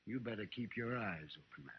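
An actor playing a wry, shrewd older American frontier doctor in a 1950s radio western, with folksy sardonic emphasis. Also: male voice, on the low side, expressive, a little fast, husky, dry recording. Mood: cautioning. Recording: dull old radio recording.

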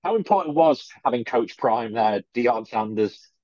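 A middle-aged man hosts over a video call at a medium pitch. He asks long, winding questions and leans on the word "obviously". The sound is dry and dull, like call audio. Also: animated, moderate pace, darkish tone, no room sound. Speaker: podcast host. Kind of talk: interviewing. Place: home room indoors.

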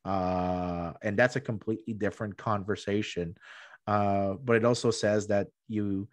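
Low-voiced man, emphatic and halting. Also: steady tone, dry recording. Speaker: podcast guest, economic historian. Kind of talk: conversational interview argument. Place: small room at home, video call. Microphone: close desktop microphone.

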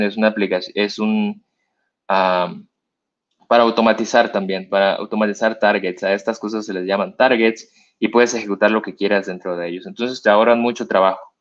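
Mexican man explaining code, halting. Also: lowish voice. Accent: Mexican Spanish. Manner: explanatory, halting, many fillers and restarts. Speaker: meetup speaker. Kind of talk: live coding demo. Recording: dull lo-fi stream audio.

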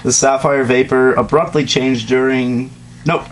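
A man's voice: medium pitch, steady tone, a little slow. Young man reading aloud, noisy recording. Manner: sarcastic, stumbling over words when reading. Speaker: co-host reading fan fiction aloud. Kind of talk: casual read-aloud with joking asides. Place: small bedroom, indoors at night.

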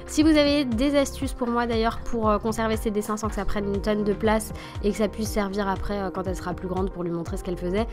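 A woman's voice: mid-range voice, steady tone, fast talker, few pauses. A woman in her thirties, a mother vlogging from home, narrating in a chatty, self-deprecating voice-over full of hesitations. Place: home living room. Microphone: voice-over at desk. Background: background music.

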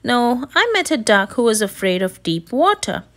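A woman's voice: on the high side, big pitch swings, normal speed, fairly bright tone. A woman voicing a polite, anxious little boy turtle from a storybook, earnest and pleading.

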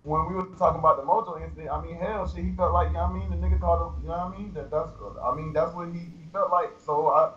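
A man's voice: on the high side, steady tone, very fast, few pauses. A man giving a casual interview in loose street slang, with repeated verbal tags. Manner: loose, repeats "you know what I mean". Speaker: interview guest, rapper. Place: indoor studio room, on a video call. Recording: echoey, dull, noisy video-call audio.

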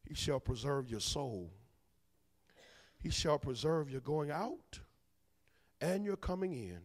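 A man, preaching a sermon as minister. He swings between loud bursts and soft asides, teasing his congregation. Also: on the high side, expressive, slow pace. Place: large church sanctuary. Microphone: handheld mic. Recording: long church hall reverb.

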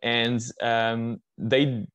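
A man's voice: medium pitch, fairly even intonation, extremely slow, fairly bright tone, smooth, dry recording, highs cut off. A man in his early twenties from Portugal, with a Portuguese accent, speaking informally as a student guest in a webinar. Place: indoors on a video call. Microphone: video call microphone.

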